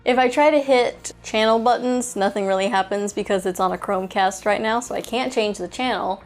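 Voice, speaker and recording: A woman's voice: medium pitch, fairly quick. A woman with a mid-range voice hosts a casual toy review over music. She talks in a chatty, unscripted way, thinking aloud as she handles each item.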